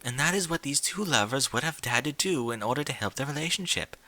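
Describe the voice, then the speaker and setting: A man's voice: mid-range voice, expressive, fast, pushed and bright, dry recording, pitched above the performer's own voice. A man voicing a refined, caring female pony for a story, with genteel, formal diction and theatrical French flourishes. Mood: calm, gentle.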